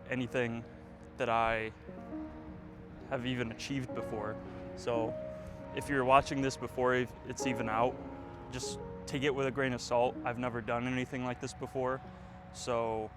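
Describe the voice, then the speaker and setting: A man in his twenties vlogging outdoors, rambling and reflective, with lots of fillers. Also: mid-range voice. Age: 20s. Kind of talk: casual reflective vlog. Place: outdoors, windy.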